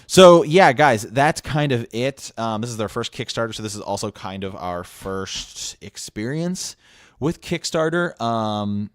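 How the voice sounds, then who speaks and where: A man's voice: medium pitch, lively intonation, unhurried, no room sound, clean studio sound. A man hosting a podcast, making a casual announcement in an earnest way and often repeating his phrases. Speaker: podcast host.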